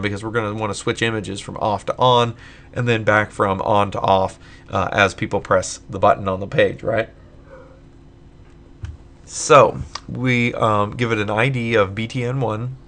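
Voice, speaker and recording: A man with a lowish voice talks through code in a relaxed, unscripted way, pausing on "uh" and "um" as he goes. His voice is getting a bit hoarse from a late night of recording, and keyboard typing is heard behind him. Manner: casual, thinking aloud with many fillers. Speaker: tutorial maker. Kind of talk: screen-recorded coding tutorial.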